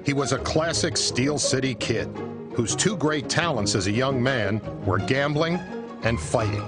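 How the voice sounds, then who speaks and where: A man's voice: medium pitch, measured pace. A man with an American accent reading scripted documentary narration. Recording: music behind the voice. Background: background music.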